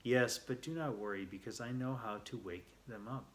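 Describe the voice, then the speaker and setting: A man's voice: mid-range voice, moderate pace, natural voice. A man voicing a confident, knowing male desert guide in a children's storybook read aloud. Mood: reassuring.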